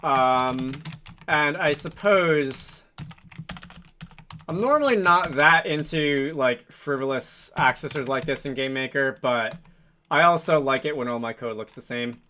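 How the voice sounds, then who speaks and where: A man's voice: mid-range voice, a little slow, sharp tone, slight background noise. A man giving a casual, unscripted programming tutorial, thinking aloud in a hesitant, rambling, self-correcting way. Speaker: programming video host, game developer. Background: computer keyboard typing and mouse clicks.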